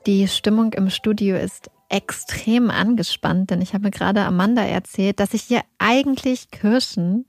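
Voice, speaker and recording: A woman's voice: medium pitch, measured pace, hardly any pauses, no echo, soft background music. A young adult woman co-hosting a podcast, chatting casually and telling self-mocking anecdotes. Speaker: podcast co-host. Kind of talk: casual chat. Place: podcast studio in Berlin.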